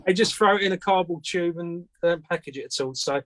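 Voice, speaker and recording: A man's voice: sits high, brisk, a bit bright, no room sound. A man who makes antennas, answering questions casually as a guest in a plain-spoken, hesitant way. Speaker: antenna maker, guest speaker.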